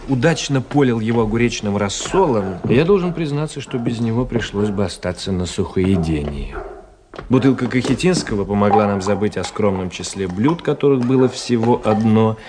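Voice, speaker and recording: An actor playing a cultured gentleman traveler, giving measured, literary narration and dialogue in a radio play. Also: medium pitch, background noise, natural voice. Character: cultured young gentleman officer, traveling writer telling his story.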